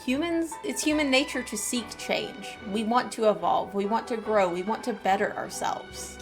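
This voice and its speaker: A woman's voice: a little high, normal speed, over music. A woman game streamer reading story text aloud and commenting in a casual, agreeable way during a live playthrough.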